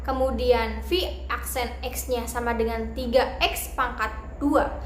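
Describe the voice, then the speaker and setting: A young woman, a pharmacy student, giving a recorded class presentation and working through math rules step by step in a steady, methodical way. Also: on the high side, reverberant, noisy background. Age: young adult.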